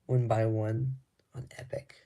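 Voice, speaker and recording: A man talking slowly and casually while showing his records, with a slightly raspy voice. He rambles, stops to restart his thoughts, and adds lots of ums. There is clear background noise with clicks behind him. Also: fairly low pitch, little pitch movement. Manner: laid-back, rambling, many ums and restarts. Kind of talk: casual talk to camera.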